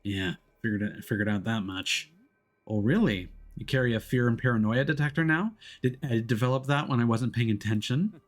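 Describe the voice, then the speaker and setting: A man voicing a gruff, world-weary, protective detective in a video game story, speaking in a dry, terse, understated way. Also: medium pitch, a little fast, close to an everyday voice. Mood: weary, grave. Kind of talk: visual novel character voicing.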